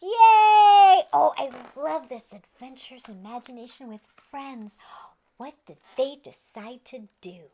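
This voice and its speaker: Children's read-aloud host, female: high-pitched, unhurried, playful, exaggerated delivery. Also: very animated, lo-fi sound, crowd noise. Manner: playful, exaggerated, talking directly to young children. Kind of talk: animated picture-book read-aloud.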